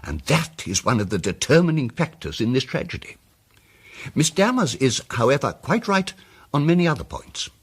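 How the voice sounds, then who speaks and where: A fussy muddled polite detective in a radio play, husky voice, really swoopy intonation. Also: male voice, medium pitch, very animated, normal speed. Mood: earnest, explanatory. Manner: hesitant, fussy, precise, old-fashioned politeness. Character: mild, muddled, apologetic male amateur detective explaining his deductions. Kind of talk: radio drama dialogue.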